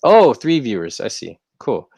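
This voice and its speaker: A man's voice: mid-range voice, big pitch swings, unhurried, gravelly, darkish tone, breathy edge, dry recording. A young American man hosting a casual livestream, rambling along in an easygoing, slangy way. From United States. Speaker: livestream host. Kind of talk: casual chat. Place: rented room at home.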